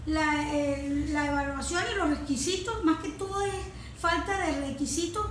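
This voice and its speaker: A woman with a Venezuelan accent explaining her work as an environmental consultant in an interview, haltingly, searching for words and repeating phrases. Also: high-pitched. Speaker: environmental consultant and forestry engineer being interviewed. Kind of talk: interview answers, explanatory talk. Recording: echoey room with clear background noise.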